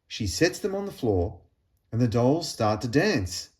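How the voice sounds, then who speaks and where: A man reading a children's storybook aloud in an expressive, deliberate storytelling manner with pauses. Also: medium pitch. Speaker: storybook reader.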